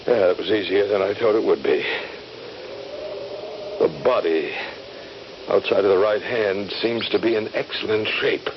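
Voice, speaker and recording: An actor playing a grave army captain in a radio drama, measured, solemn and authoritative. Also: male voice, fairly low pitch, close to an everyday voice. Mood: examining, brisk. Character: grave Union Army captain examining a dead soldier. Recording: dull, lightly noisy 1970s radio recording.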